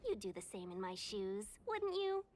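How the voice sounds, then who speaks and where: A woman's voice: mid-range voice, sing-song, normal speed, darkish tone, natural voice. A woman playing a polite, cautious fox-woman civil servant in a video game, with an articulate, genteel manner. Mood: gently pleading. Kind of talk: scripted game voice acting.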